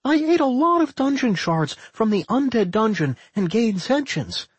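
Slime girl as put on by a narrator, high-pitched, proud, grand, boastful, animated, fantasy audiobook monster. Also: normal speed, breathy edge, dry recording, highs cut off, full character voice.

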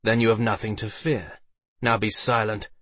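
A man's voice: fairly low pitch, little pitch movement, moderate pace, a bit bright, no echo, recorded from a distance. Proud knight for a fantasy storybook, read by a man: stern, demanding, formal, menacing. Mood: stern, threatening. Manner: commanding, formal. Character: proud, furious male knight, demanding and threatening.

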